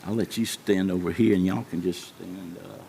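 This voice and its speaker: Deep-voiced Southern man at a podium. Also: fairly even intonation, normal speed, gravelly, dark tone, a little hiss or hum. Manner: plain-spoken, many fillers. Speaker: emergency services official. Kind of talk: public remarks at a meeting. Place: county board meeting room.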